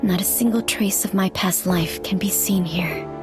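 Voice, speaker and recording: A female actor plays a reserved young woman on a secret investigation in a game. She speaks deliberately and guardedly at an ordinary female pitch, with music clearly playing behind her. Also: natural voice. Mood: pensive, resolute. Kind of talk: scripted video game dialogue and monologue.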